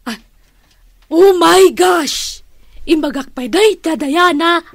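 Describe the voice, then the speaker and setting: A woman's voice: extremely high pitch, animated, takes it slowly, gravelly, no room sound, full character voice. A woman acting in a radio drama as a flustered, giggly aunt who dreams of riches, coy and full of exclamations.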